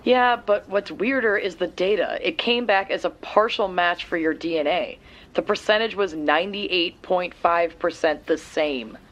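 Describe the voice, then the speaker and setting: An actress playing a matter-of-fact young woman who handles lab and research work, speaking briskly. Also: lowish voice, fairly bright tone. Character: quick, techy young woman friend doing lab research. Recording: dull, lo-fi sound.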